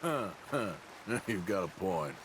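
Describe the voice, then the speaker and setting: A gruff Western outlaw, lively pitch. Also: male voice, slow pace, background noise, full character voice. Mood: dry, wary. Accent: American Western drawl. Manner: dry, grumbling, folksy cowboy speech. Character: gruff, sardonic, wary American outlaw gunslinger. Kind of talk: cinematic video game acting.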